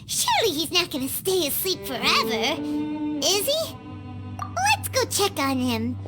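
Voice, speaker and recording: A woman voicing a small, childlike female fairy companion in a video game, chirpy, cheeky and squeaky. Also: wildly swooping pitch, unhurried, full character voice. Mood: cheeky concern. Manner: refers to self in third person, exclamatory. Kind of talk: video game cutscene acting. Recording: loud game music behind.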